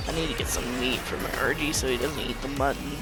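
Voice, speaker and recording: Young woman gaming host, calm soothing ramble, casual, background music under the voice. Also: extremely low pitch, fast. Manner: calm, soothing, rambling talk with light laughs. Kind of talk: casual gameplay commentary.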